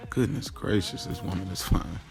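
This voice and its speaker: A young man in love, his low voice thick with gravelly fry. He confides his story in an audio drama in loose, slangy talk, acting out the dialogue as he goes, with music underneath. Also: fairly even intonation, moderate pace. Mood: happy, smitten. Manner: informal, slangy, acts out quoted dialogue. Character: lovestruck young man confiding his secret office romance. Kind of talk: first-person audio drama monologue, conversational storytelling.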